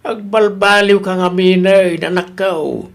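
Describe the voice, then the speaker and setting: A man's voice: sits high, fairly even intonation, unhurried, vocal fry. A man with a Filipino accent playing a father who chides and banters with his grown child in a comedic radio drama. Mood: chiding.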